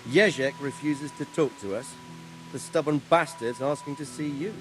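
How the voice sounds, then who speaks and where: Game cutscene: male actor as a commanding lord, mid-pitch voice, faint music behind. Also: natural voice. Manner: authoritative, brisk. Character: commanding nobleman, the squire's lord. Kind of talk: video game cutscene acting.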